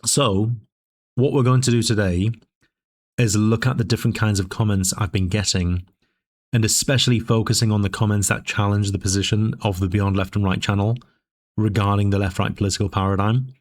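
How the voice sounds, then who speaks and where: A man hosting his own political commentary channel, talking through his points in a measured, discursive way with frequent fillers and restarts, his voice a little dry. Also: fairly low pitch, steady tone. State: dry voice, sipping tea. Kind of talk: casual spoken commentary.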